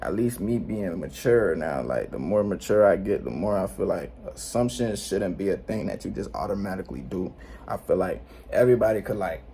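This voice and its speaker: A man's voice: deep voice, lively intonation, fairly quick, vocal fry, dark tone, slight background noise. An eighteen-year-old man talking to camera at home in a slangy, rambling, laid-back way, often checking in with the viewers. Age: late teens. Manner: slangy, rambling, laid-back, frequent "you know what I'm saying". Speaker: vlogger. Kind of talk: unscripted casual vlog talk. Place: indoors at home.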